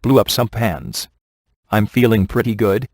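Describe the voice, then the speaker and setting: A man's voice: fairly low pitch, unhurried, a little mellow, no room sound. A man playing a wisecracking, laid-back ghost hunter in a video game, with a dry, sardonic and glib delivery. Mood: casual, cocky. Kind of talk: scripted video game character dialogue.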